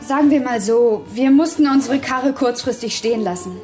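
A woman's voice: a little high, moderate pace, over music, lightly acted. A woman playing a brash, disillusioned young accomplice to a robber in a radio drama, talking in snappy, reproachful slang. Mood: cool, defiant.

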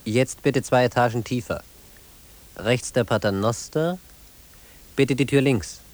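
An actor playing a cool, faintly wry guide who narrates a radio play in a measured, matter-of-fact way. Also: male voice, mid-range voice, over music, lightly acted. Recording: hissy old radio play recording.

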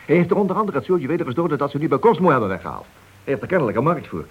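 An actor playing a tough, impatient police detective with a dry, brisk manner in a radio play. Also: male voice, fairly high pitch, sing-song, husky. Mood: defensive, brisk. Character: hard-bitten, impatient male police detective. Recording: dull, slightly noisy old radio drama recording.

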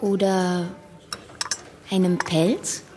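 A woman's voice: fairly low pitch, flat delivery, drawn-out words. An actress playing a fussy, well-off woman in a radio play, chatty and coaxing. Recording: music under the voice.

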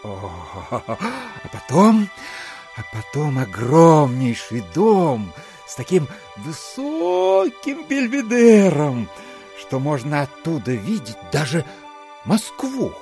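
A sentimental landowner from an audio drama, cloying and dreamy. He speaks in a fairly high, lilting voice and draws his words out slowly, gushing and hesitating. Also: very animated, mellow, faint music behind, heavily acted. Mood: dreamy rapture. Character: sugary, sentimental, dreamy landowner and genial host.

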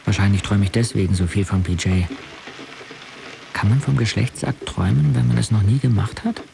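A man's voice: lowish voice, fairly quick, vocal fry. An actor playing a determined young man who tells his own story matter-of-factly in a radio drama. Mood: reflective. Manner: matter-of-fact, terse present-tense telling. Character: young wheelchair-using man, determined arm wrestler telling his story. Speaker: narrator and main character. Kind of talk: scripted first-person radio drama narration. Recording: loud music behind. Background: music.

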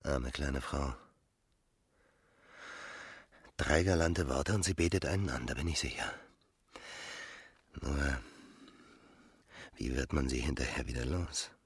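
An actor playing a ruthless, sharp-minded womanizer in his thirties in a radio play, musing to himself with cool disdain in a gravelly, husky voice. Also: extremely low pitch, unhurried, long pauses, dry recording, studio quality, exaggerated voice. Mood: contemptuous. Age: 30s. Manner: musing to himself, coolly dismissive. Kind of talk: radio play inner monologue.

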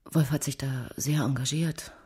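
A worried, guarded wife in a radio crime drama. Her voice is low and dark, and she speaks in a subdued, hesitant manner. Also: steady tone, moderate pace, breathy edge, full character voice. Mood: hesitant, recalling. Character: anxious, guarded wife of a missing man.

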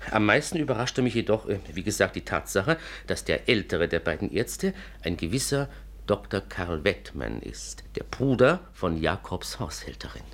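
An actor playing an anxious, courteous inventor in a 1950s German radio play, speaking formally and hesitantly in a husky, breathy voice. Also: male voice, low-pitched, big pitch swings, moderate pace, a bit bright, exaggerated voice. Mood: earnest, troubled. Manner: formal, hesitant, repeats a pet phrase.

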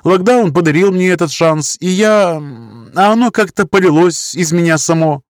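A man's voice: sits high, normal speed, dry recording, clean studio sound, pitched above the performer's own voice, close to an everyday voice. A man voicing an anxious, defensive woman of nearly thirty in an audiobook, pleading and justifying herself. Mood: flustered, explaining. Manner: pleading, self-justifying, wordy. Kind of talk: audiobook character dialogue.

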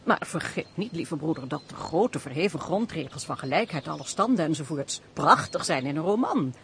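Worldly, teasing countess: expressive swooping intonation, formal period speech, faintly hissy radio play. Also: female voice, deep voice, moderate pace. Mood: teasing, dry. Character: teasing, sensible countess, elder-sisterly and worldly. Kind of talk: Dutch radio play, period stage dialogue.

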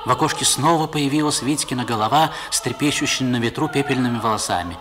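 A man's voice: medium pitch, fast, noisy background, natural voice. A man playing a reflective narrator recalling his boyhood in a 1960s radio play. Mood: urgent. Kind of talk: dramatic first-person storytelling in 1960s radio play.

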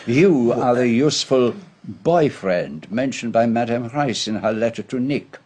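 An actor playing a shrewd, courtly Belgian detective in a radio drama, speaking precisely and formally with a Belgian French accent. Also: male voice, medium pitch, animated, a little slow, a little mellow, breathy edge. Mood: accusing, stern.

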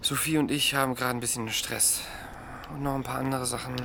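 A man's voice: medium pitch, flat delivery, brisk, creaky, background noise, close to an everyday voice. Actor as a weary, grumbling man. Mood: weary, reluctant. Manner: grumbling, muttered, reluctant. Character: weary, sour young man with relationship and housing trouble. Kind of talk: naturalistic radio drama acting.

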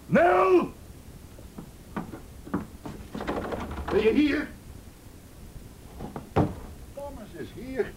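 Menacing, high-pitched desperate man. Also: a little mellow, exaggerated voice. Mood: angry, shouting. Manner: coarse, commanding, swinging between threats and pleading. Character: menacing, desperate man bullying and pleading with his partner. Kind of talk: Dutch radio play acting. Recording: noisy radio play recording with rumble.

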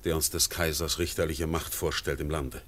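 An actor playing a steadfast Swiss farmer in a classic radio drama, speaking verse in a solemn, measured way. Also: male voice, a bass voice, level tone, a bit bright. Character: steadfast Swiss farmer, grave and anxious husband.

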